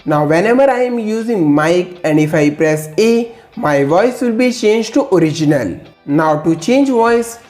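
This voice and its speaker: Mellow-voiced woman narrating a software tutorial. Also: fairly low pitch, measured pace, few pauses, roomy sound, soft background music. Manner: steady step-by-step instructions, enthusiastic asides.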